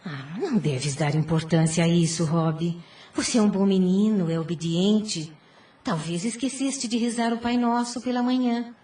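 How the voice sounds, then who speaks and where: A woman playing a devout, gentle, weary mother in an audio drama, speaking formally and earnestly. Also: fairly low pitch, fairly even intonation, normal speed, recorded from a distance, lightly acted. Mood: soothing. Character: devout, gentle, ailing mother worn before her time.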